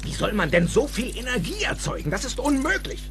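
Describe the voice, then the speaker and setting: A man playing an eccentric, excitable scientist in an audio drama, agitated and dramatic, moving between mutters and shouts. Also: extremely high pitch, a little fast, heavily acted. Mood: excited, overwhelmed. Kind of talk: audio drama film dialogue. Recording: music behind the voice.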